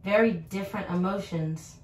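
A woman's voice: mid-range voice, moderate pace. Tipsy young woman rambling to camera. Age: 20s. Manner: rambling, earnest pep talk with many fillers. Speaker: vlogger. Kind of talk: casual vlog talk to camera. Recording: loud background noise.

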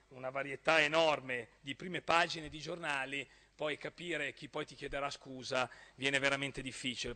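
A man in his thirties with a northern Italian accent addresses a council, speaking into a desk microphone and gesturing as he pushes his points. His voice is very bright and cutting, a little higher than most men's. The chamber adds a long, ringing reverb. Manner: forceful, rising to emphatic outbursts, gestures while speaking. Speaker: regional councillor. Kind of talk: parliamentary speech. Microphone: desk gooseneck microphone.

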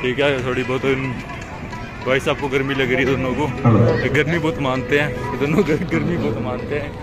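A man's voice: mid-range voice, little pitch movement, fairly quick, loud music behind the voice. A man, chatting casually and jokingly as a vlogger. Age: 20s. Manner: joking, colloquial. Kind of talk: casual vlog chat. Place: outdoor streets and busy mall. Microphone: phone at arm's length. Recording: very noisy phone vlog sound. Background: loud urban ambience.

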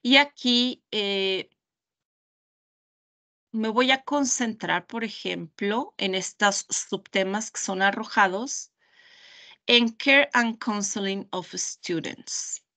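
A woman with a Mexican Spanish accent leading a webinar over a video call, walking through a website step by step in a deliberate, pausing way. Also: mid-range voice, sharp tone. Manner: slow, deliberate, pausing often. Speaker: webinar trainer. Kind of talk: screen-share product demonstration.

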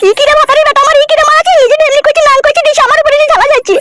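A woman voicing a sharp-tongued, defiant village wife in a comedy cartoon, combative and foul-mouthed. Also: fairly even intonation, racing, very noisy. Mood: furious. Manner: shrill, nagging, combative. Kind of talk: amateur comedy cartoon dialogue.

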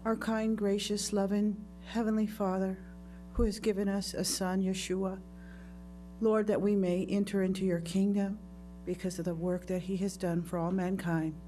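A middle-aged woman leading a public prayer at a podium in a measured, reverent manner. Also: mid-range voice, flat delivery, mellow, soft background music. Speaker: resident leading an invocation. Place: council chamber. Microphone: podium gooseneck microphone.

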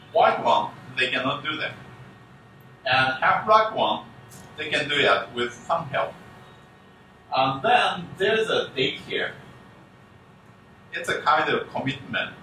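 A man gives a conference keynote talk in halting English full of restarts, speaking from the front of a conference room. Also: medium pitch, sharp tone. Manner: halting non-native English, frequent restarts. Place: conference room with projector screen. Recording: echoey room, distant mic, faint hum.

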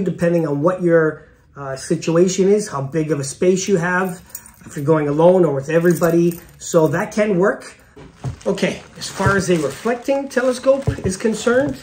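A man's voice: high-pitched, darkish tone. A middle-aged man chatting to the camera from an indoor room, giving casual advice as the host of an astronomy channel, with fillers and restarts.

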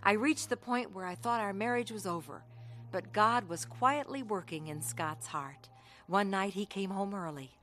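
A woman's voice: medium pitch, lively intonation, normal speed, fairly bright tone, faint music behind, lightly acted. An actress playing an earnest young woman telling her life story of faith in a radio drama. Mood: earnest narrating. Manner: earnest, heartfelt. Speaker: actress narrating and playing the lead. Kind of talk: radio drama acting with first-person narration.

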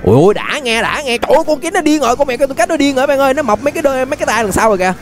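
A young man with a southern Vietnamese accent giving excited, exclamatory gameplay commentary, often repeating phrases as he reacts. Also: very high voice, racing. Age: young adult. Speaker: gaming YouTuber, host. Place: indoors at a gaming setup. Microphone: desk microphone close to the face.

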